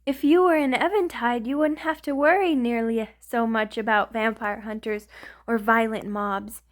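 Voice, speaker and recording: A woman playing an affectionate, refined vampire in an ASMR romantic roleplay, speaking tenderly and a little hesitantly, with gently formal wording. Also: fairly high pitch, fairly even intonation, moderate pace, close to an everyday voice. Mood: wistful, earnest. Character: affectionate, refined vampire queen in love with a human.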